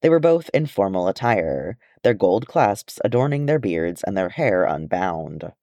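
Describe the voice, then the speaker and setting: A man narrates a fantasy story in a fairly low voice that rises and falls freely. The recording is dry and close, and he reads expressively, like an audiobook storyteller. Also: sing-song, measured pace, warm tone, dry recording. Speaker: amateur audiobook reader.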